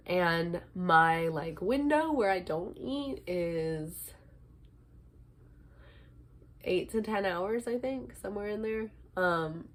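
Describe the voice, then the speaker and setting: A young woman with a stuffy head cold, chatting casually to camera with frequent ums and small laughs. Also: fairly low pitch. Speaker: vlogger, teacher and fitness instructor.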